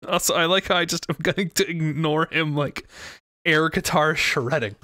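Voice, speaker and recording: A man's voice: fairly high pitch, unhurried, bright, forceful tone, dry recording, studio quality. A man streaming a courtroom game, reading its lines aloud and joking between them with frequent laughter. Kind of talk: let's play commentary.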